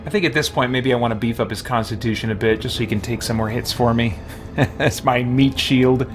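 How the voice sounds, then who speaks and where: A man's voice: mid-range voice, steady tone, fairly quick, over music. A man doing casual live-stream gameplay commentary, thinking aloud in an easygoing, chatty way. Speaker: game streamer, host.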